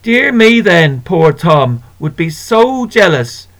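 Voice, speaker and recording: A man's voice: high voice, very animated, slow, a bit bright, exaggerated voice. A man voicing a plump, beaming older shopkeeper woman in a children's storybook, homely and chatty. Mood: kindly.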